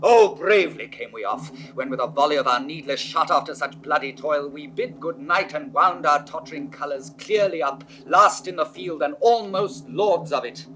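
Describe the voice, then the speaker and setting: An actor playing a proud young French prince at war, declaiming theatrically in classical Shakespearean style. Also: male voice, a little high, normal speed, few pauses, sharp tone, low-quality recording. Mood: triumphant. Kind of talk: 1960s classical Shakespeare audio drama acting.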